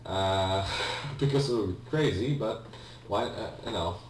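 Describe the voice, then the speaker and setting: Guy doing a lets play, deep voice, kinda rambly and hesitant, mic sounds far off w some hum. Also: measured pace. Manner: hesitant, rambling, self-correcting. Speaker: let's player, video game host. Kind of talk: casual gameplay commentary. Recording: roomy, distant mic with faint hum.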